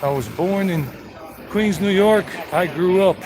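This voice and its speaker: A man's voice: sits high, a little slow, crowd noise. A man from South Carolina giving a chatty, rambling walking-tour commentary outdoors, joking with his companion and greeting passersby. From South Carolina, United States. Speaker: tour guide vlogger. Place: outdoors on a town street and park.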